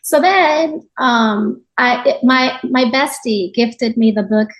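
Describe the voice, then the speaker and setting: Woman, from Japan, fairly high pitch, interview guest, reflective, conversational, frequent asides. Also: slow. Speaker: podcast guest, yoga teacher. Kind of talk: reflective personal interview account.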